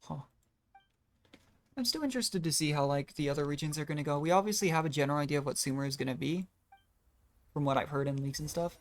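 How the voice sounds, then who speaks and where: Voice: mid-range voice, fast talker, pauses often. A game streamer rambling casually through gameplay commentary, thinking aloud in a self-deprecating way. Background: video game music.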